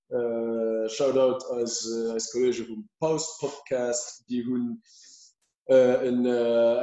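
A man hosting a casual podcast discussion, halting and full of fillers and restarts. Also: mid-range voice, dark tone. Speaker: podcast host. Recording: echoey room.

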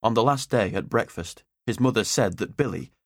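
A man narrates an audiobook in a low voice. The delivery is scripted storytelling, and the recording is dry and close, with no room sound. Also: moderate pace, dry recording.

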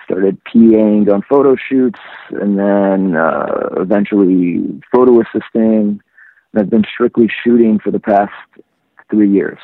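A man from Iran in his thirties, a photographer, talking reflectively in a casual interview with many pauses and restarts. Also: lowish voice, no room sound. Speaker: photographer guest. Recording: tinny phone-line sound.

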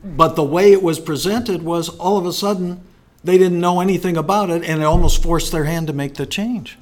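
A man's voice: fairly high pitch, fairly quick. Man hosting a casual soccer chat. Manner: asks leading questions, echoes the guest.

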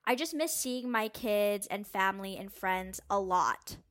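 A woman's voice: mid-range voice, lively intonation, unhurried, fairly bright tone. Woman reading candid written answers.

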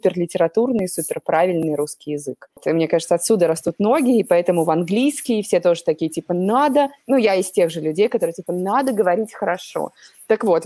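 A young woman from Ukraine chatting as a podcast guest, animated and quick to laugh. Her voice sits a little low, and the sound is dry and close. She tosses slang into loose, friendly talk. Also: dry recording. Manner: lively, laughs often, mixes in slang. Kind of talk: casual chat.

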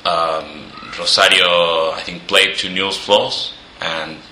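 Man, very deep voice, hesitant, football co-host, echoey living room. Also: fairly even intonation, takes it slowly, pushed and bright, slight background noise. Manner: hesitant, stammers at starts. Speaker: podcast co-host. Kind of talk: casual football analysis.

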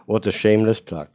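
A man's voice: on the low side, dark tone. Teasing male podcast host, dull audio. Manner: teasing, playful. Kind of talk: casual chat.

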